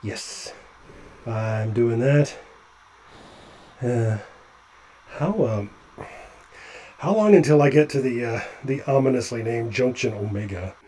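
An actor playing a nervous, chatty male doctor climbing along a ship's hull, halting with stammering restarts. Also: medium pitch, animated, dark tone, slight background noise. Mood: anxious. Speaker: physician on a spacewalk. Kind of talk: audio drama acting.

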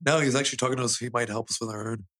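A man's voice: medium pitch, fairly even intonation, racing, a bit bright. A young man co-hosting a podcast, cocky and teasing, swearing freely in casual banter. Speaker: podcast co-host.

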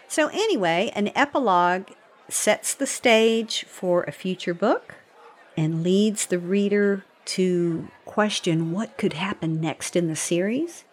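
A woman's voice: mid-range voice, slow. A woman talking casually and explaining things as a guest on a show. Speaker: guest commentator on the book.

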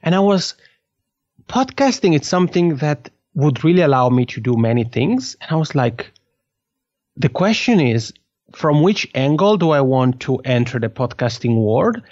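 A man from the Italian-speaking part of Switzerland with a Swiss Italian accent, a podcast guest telling his story in an animated, laughing way. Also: on the high side, normal speed, dry recording, dull audio. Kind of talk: casual interview conversation.

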